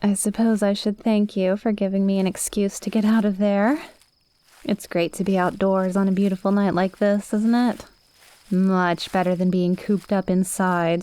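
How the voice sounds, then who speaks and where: A woman's voice: mid-range voice, darkish tone, clean tone, natural voice. A woman playing a cute, playful witch in an ASMR roleplay, speaking confidently and coaxingly straight to the listener. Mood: relaxed, pleased. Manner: conversational, teasing, coaxing.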